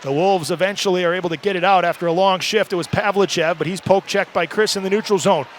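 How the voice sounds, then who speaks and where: A man calling live ice hockey play-by-play for radio in an excitable manner, building from steady calls to shouts. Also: high-pitched, fast, slight background noise.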